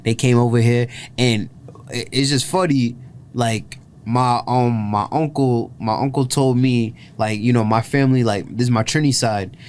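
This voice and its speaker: A man's voice: medium pitch, fairly even intonation, moderate pace, darkish tone, slight background noise. Rambling casual male host. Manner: rambling, heavy with "like" and "yo", restarts often. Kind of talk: casual reaction chat.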